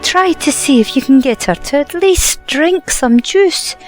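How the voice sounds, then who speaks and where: A woman playing a grieving, dignified motherly older woman in an audio drama, speaking with measured sorrow. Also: high-pitched, expressive, soft background music. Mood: sad, restrained.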